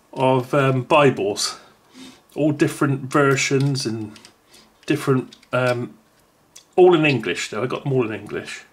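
Casual male reviewer, a little high-pitched, chatty and meandering. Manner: rambling, self-correcting, chatty. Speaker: product reviewer. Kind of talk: casual unboxing demo. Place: indoors at a wooden table.

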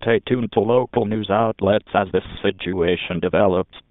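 A man's voice: fairly low pitch, moderate pace, heavy background noise. A man's formal, synthetic-sounding voice reading a scripted emergency alert announcement. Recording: tinny phone-like band.

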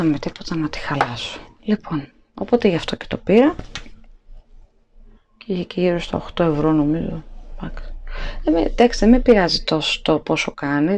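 A woman's voice: fairly low pitch, a little mellow, a little hiss or hum. A woman in her thirties chatting casually to the camera in her home kitchen at night, rambling with plenty of fillers and restarts. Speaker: vlogger. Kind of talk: casual haul vlog chat.

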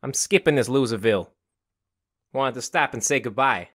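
A man's voice: mid-range voice, normal speed, fairly bright tone, no echo, clean studio sound, natural voice. A man voicing a cocky, restless young drifter vampire in a visual novel game, with breezy, slangy, teasing talk.

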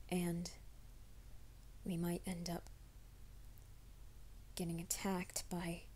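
A woman voicing a shy, flustered young male werewolf in an ASMR roleplay, speaking in a soft half-whisper with a hesitant, stammering delivery. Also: lowish voice, creaky, slightly rough, heavily acted. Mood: nervous confession. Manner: hesitant, stammering, self-conscious.

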